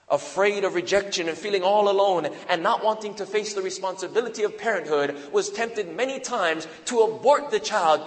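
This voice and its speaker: A man in his thirties from Hawaii preaches a sermon woven with personal testimony. His voice sits high for a man, yet he lets it sink low and quiet on key phrases, pausing for weight. A long reverb trails every word in the church hall. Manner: slow, dramatic pauses with deep drops for emphasis.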